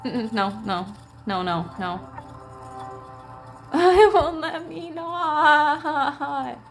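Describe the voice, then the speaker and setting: A woman giving casual, playful reaction commentary over a game, with drawn-out exclamations. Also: sits high, animated. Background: game music.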